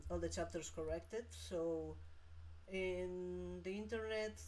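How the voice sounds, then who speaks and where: A man's voice: high-pitched, a little mellow. Man chatting while he draws. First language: Catalan. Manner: halting non-native English with frequent laughs. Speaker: comic artist streaming. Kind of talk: casual livestream chat while drawing.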